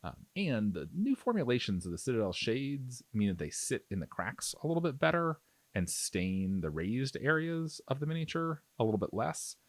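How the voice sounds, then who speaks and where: Low-pitched man with lively, swinging intonation. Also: no room sound. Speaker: miniature painting instructor. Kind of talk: casual tutorial voice-over.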